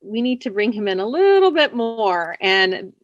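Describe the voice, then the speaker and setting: A woman's voice: mid-range voice, very animated, unhurried, no echo, lo-fi sound. A woman being interviewed as a writer, chatting casually in an informal, playful, slightly coy way. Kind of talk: casual chat.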